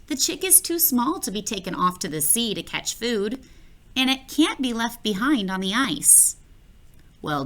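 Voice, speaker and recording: Lively woman reading a children's story. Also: mid-range voice. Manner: expressive, playful, addresses the listener directly. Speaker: children's book reader. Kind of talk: read-aloud storytelling for children.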